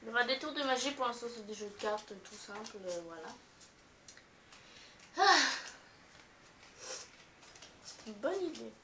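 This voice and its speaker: A woman's voice: on the high side, lively intonation, sharp tone, a little hiss or hum. A middle-aged woman hosting a casual vlog, playful and hesitant, humming and singing little bits as she plays. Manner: playful, slow and hesitant, hums and sings bits. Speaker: vlogger host. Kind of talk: casual play-along while gaming.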